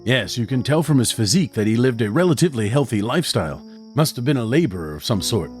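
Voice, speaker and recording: An actor playing an educated young medical student and wary accomplice in an audio drama, speaking in a formal, measured way. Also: male voice, medium pitch, animated, soft background music, natural voice. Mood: agreeable. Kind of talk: audio drama acting and first-person narration.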